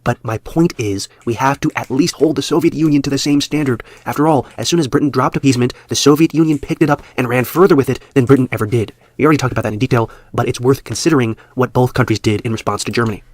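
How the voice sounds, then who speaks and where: Rapid-fire male video essay narrator. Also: mid-range voice, dry recording. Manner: brisk, measured argumentative delivery. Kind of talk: scripted narration.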